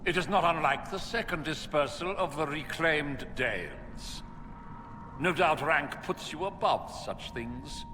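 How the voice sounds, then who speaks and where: Male sneering fantasy-game lord: pompous, impatient, highish and very animated, over clear music. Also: a little slow, noisy background. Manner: pompous, condescending. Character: haughty, impatient nobleman, sneering at underlings. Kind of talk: theatrical video game cutscene acting.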